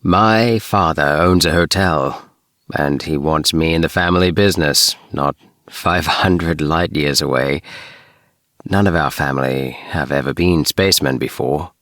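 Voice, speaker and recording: A man voicing an easygoing, chatty young alien spaceman in a storybook, with relaxed, hesitant phrasing. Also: very deep voice, a little slow, no room sound, clean studio sound. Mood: weary, confiding. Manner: relaxed, with sighs and hesitant uhs.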